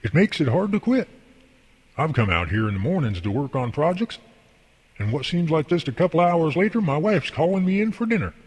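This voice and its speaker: An actor playing a folksy, devout boss and mentor in an amateur radio drama, explaining things earnestly and at length. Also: male voice, on the high side, very animated, fairly quick, breathy edge. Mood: friendly, earnest. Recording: long hall reverb.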